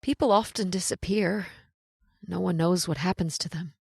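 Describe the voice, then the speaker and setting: A woman's voice: fairly low pitch, moderate pace, vocal fry, a little mellow, slightly rough, dry recording, clean studio sound. An actress playing a fatalistic, tearless woman lost in prehistoric time, grave and dramatic in old-time radio horror style. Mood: weary, resigned.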